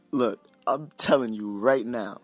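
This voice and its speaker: An actor playing an angry estranged husband in an audio drama, confrontational and defiant. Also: male voice, medium pitch.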